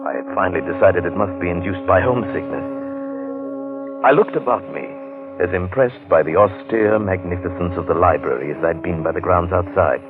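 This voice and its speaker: A man playing a genteel, curious London businessman in an old-time radio drama, with expressive, old-fashioned phrasing. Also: deep voice, a little fast, over music, close to an everyday voice. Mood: reflective narration. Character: John Buchan, affable curious London businessman recounting his strange tale. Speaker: narrator and lead actor. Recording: muffled vintage radio drama recording.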